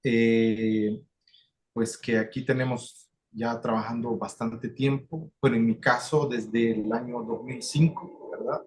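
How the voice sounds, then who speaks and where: A man's voice: medium pitch, fairly even intonation, takes it slowly, darkish tone, a little hiss or hum. A Salvadoran man, a community facilitator, talking in a video call interview, with many fillers and restarts.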